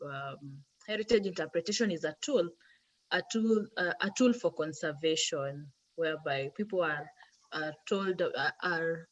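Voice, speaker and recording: Hesitant low-voiced woman, lo-fi call. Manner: hesitant, repeats words. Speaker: webinar panelist. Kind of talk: online panel talk.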